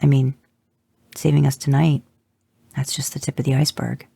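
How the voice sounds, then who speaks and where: Easygoing joking man, low gravelly voice, read by a woman. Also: little pitch movement, normal speed, mellow, dry recording, full character voice. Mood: fond.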